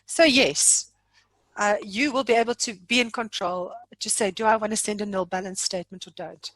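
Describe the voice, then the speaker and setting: A woman's voice: mid-range voice, moderate pace, a bit bright, dry recording, poor audio. A woman hosting an informal online training session in a jokey, chatty, self-deprecating way. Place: remote online meeting from own room.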